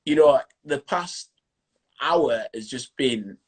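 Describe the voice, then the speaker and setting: A man in his thirties with a broad Yorkshire accent and a husky, breathy voice, talking into his phone's front camera in a small room. He hosts a casual live chat, upbeat and effusive, with easy colloquial warmth in his phrasing. His voice sits in an ordinary middle register. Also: medium pitch, animated, slow. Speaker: host. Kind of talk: casual live-stream interview.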